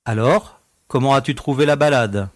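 A man's voice: medium pitch, normal speed, lightly acted. An actor playing a sardonic young male space pilot and smuggler in an audio drama, teasing and informal in manner. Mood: teasing, cocky.

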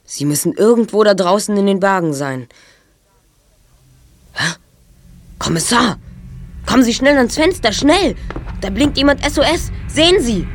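A woman's voice: medium pitch, expressive, unhurried, long pauses. A woman playing an alert, determined teenage boy detective in an audio drama. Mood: urgent.

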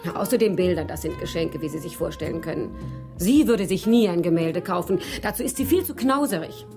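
A woman's voice: on the low side, lively intonation, a little fast. An actress playing a worldly, self-assured woman who teases and probes, in a German radio crime drama. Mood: knowing, boastful. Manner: teasing, self-assured, probing. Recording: faint music under the voice.

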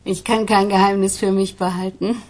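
An actress playing a gangster's girlfriend in a radio drama, wistful yet defiant, with a breathy, languid voice. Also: medium pitch, flat delivery, moderate pace, a little mellow, close to an everyday voice. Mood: frank. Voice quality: husky, breathy.

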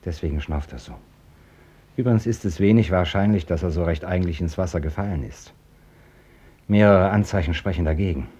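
An actor playing a blunt, busy hospital doctor in a radio drama, dry and ironic but gentle with children. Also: male voice, deep voice, slightly rough. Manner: dry, clipped, formally ironic. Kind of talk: German radio drama acting.

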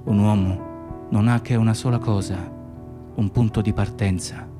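A man's voice: lowish voice, steady tone, normal speed, lightly acted. A man playing a persistent, wistful stranger in a radio play, insistent and dramatic, often repeating his phrases. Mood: solemn. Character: persistent, wistful male stranger musing on travel and home. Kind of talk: Italian radio play acting. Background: music.

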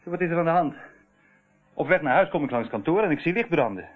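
A slippery crook playing innocent, voice goes all up and down, smug, old radio play, tinny. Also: male voice, fairly high pitch, animated, fast talker. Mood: puzzled. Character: smug, slippery male criminal feigning innocence. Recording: tinny, narrow-band old radio recording.

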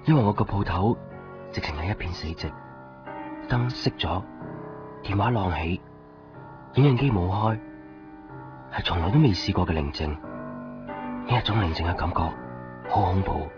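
A man's voice: low voice, moderate pace, pauses often, over music, lightly acted. An actor playing a lovelorn, brooding and easily exasperated young man in a Cantonese radio drama. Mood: anxious, heartbroken. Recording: dull 1990s radio recording.

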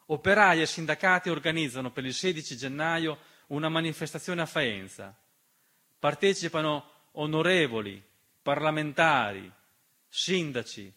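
A man's voice: fairly high pitch, pushed and bright. A man delivering a theatrical political monologue in a rhetorical, impassioned manner, speaking directly to the listener. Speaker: stage monologist. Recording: piano music behind the voice. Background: piano accompaniment.

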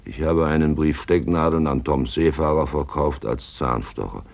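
Radio play draper: rock-bottom gravelly bass, intimate, lovelorn, dryly complaining. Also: male voice, moderate pace, a little mellow, exaggerated voice. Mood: dry, grumbling. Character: lovelorn, grumbling male small-town draper. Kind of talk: radio play monologue, reading a love letter.

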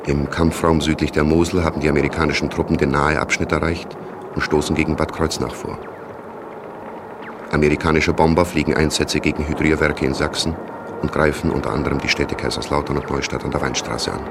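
A man narrating a radio play as a sober chronicler of wartime events, measured and factual. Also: extremely low pitch, little pitch movement. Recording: clear background noise.

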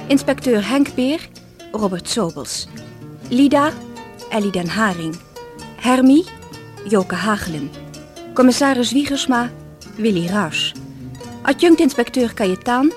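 A woman announcer reading radio drama credits in a formal, deliberate, list-like manner. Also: a little high, animated. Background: music.

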